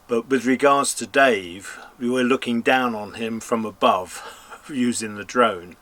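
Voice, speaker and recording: A man giving an informal gear review, explaining things in a measured, careful way. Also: mid-range voice, a bit bright. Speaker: presenter reviewing and testing gear. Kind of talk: informal product review commentary.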